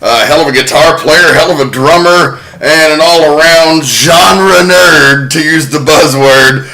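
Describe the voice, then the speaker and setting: A man's voice: on the high side, measured pace, bright, forceful tone, poor audio. A man hosting a podcast, chatting casually and telling a story with the odd swear word thrown in. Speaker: podcast host.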